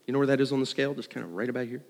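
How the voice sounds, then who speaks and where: A man's voice: medium pitch, very fast, gravelly. Man giving testimony in a reverberant hall. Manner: animated storyteller, acting out dialogue and thoughts; conversational, self-deprecating. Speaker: worship leader. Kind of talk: personal testimony told to a congregation. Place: large room, speaking to an audience. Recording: live hall with long reverb.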